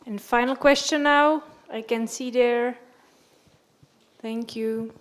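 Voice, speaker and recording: Higher-voiced female moderator. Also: steady tone, slow pace. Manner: brief, businesslike.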